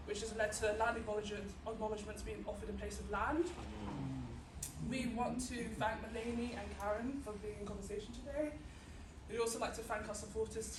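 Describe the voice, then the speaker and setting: Woman reading a bio in an echoey room. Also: a little high. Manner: reads steadily, with small restarts. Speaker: gallery staff introducing the guest. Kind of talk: reading a land acknowledgement and speaker bio. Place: small venue with an audience. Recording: echoey room, distant mic, clear background noise.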